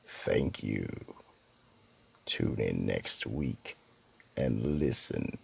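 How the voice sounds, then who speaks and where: A man hosting and narrating scripted horror stories in a theatrical, ominous manner, with a very deep, gravelly, husky voice. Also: expressive. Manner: slow, ominous, dramatic. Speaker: narrator and host.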